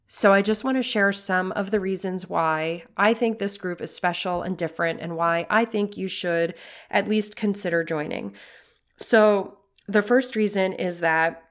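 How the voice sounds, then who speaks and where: A woman talks alone into the mic as a podcast host, her voice a little raspy while she gets over a cold. She sounds earnest and encouraging, mid-pitched, with plenty of ums as she works through her points. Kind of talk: casual solo podcast talk.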